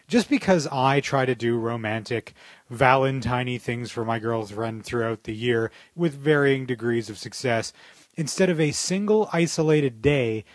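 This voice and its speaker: A man's voice: mid-range voice, dry recording. A man co-hosting a podcast, talking in a wry, sardonic, emphatic way. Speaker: podcast co-host. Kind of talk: scripted opinion monologue and casual podcast chat.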